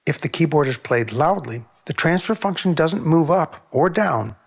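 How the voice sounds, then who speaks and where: A man narrating a technical video on sound measurement in a measured, precise, explanatory way. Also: a little high, animated, gravelly, soft background music.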